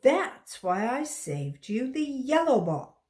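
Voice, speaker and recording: Woman reading as a kindly, animated schoolyard man. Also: fairly high pitch, slow, pitched above the performer's own voice. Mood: reassuring. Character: kindly easygoing male schoolyard ball keeper.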